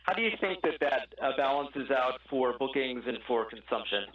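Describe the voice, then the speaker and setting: A man's voice: medium pitch, expressive, moderate pace. A man calling in as a financial analyst, putting a question on an earnings call. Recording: muffled, echoey, distant call line.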